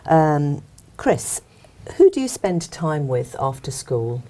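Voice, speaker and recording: A woman's voice: low-pitched, very animated, takes it slowly, warm tone. A woman examiner politely asking candidates questions in a recorded speaking exam. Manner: polite, encouraging prompts. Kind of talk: exam interview.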